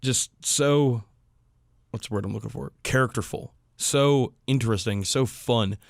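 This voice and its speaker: A man's voice: mid-range voice, unhurried, gravelly, no echo, clean studio sound. A man hosting a hobby podcast in an informal, enthusiastic, conversational style. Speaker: podcast host.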